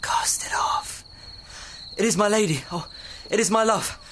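A young man acting an ardent, lovestruck young nobleman in a Shakespearean audio play, with a poetic, breathy, impassioned delivery. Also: high-pitched, a little slow, a bit bright, in a whisper. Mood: rapturous. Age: young adult. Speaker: student actor.

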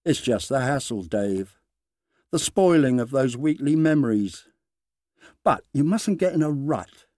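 A man voicing a quietly menacing male bully in a storybook reading, speaking precisely and deliberately. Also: medium pitch, animated, no echo, studio quality, lightly acted. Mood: coldly intimate.

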